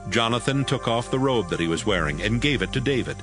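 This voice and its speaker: A man's voice: fairly low pitch, animated, fast. A man narrating a dramatized audio Bible as scripted storytelling. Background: music.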